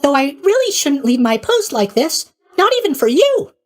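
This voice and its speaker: A man's voice: very high-pitched, sing-song, normal speed. A man voicing a boyish, sleepy god of sleep in a video game, with a bubbly, scatterbrained, casually tactless manner. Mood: eager, flustered. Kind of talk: animated video game voice acting.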